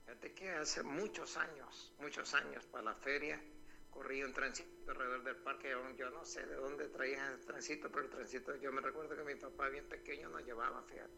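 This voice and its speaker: A man's voice: medium pitch, steady tone, fairly quick, vocal fry, sharp tone, soft background music. A man, calling in by telephone to a local radio show, talking in a devout, nostalgic way with many fillers. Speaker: phone-in caller. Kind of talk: casual phone chat. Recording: noisy phone line.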